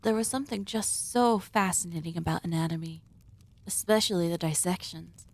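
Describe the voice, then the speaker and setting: A woman playing a polite, sinister female cannibal surgeon hosting dinner in an ASMR roleplay, speaking in formal, deliberate phrases. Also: fairly low pitch. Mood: wistful, confiding. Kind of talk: ASMR roleplay, scripted monologue addressed to listener.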